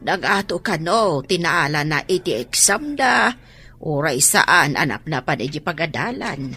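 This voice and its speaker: A stern ilocano mom in a radio play, husky and sharp, exasperated. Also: female voice, sits low, big pitch swings, measured pace, soft background music, full character voice. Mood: concerned. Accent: Filipino, Ilocano. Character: worried, stern mother, exasperated and protective. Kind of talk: radio drama acting.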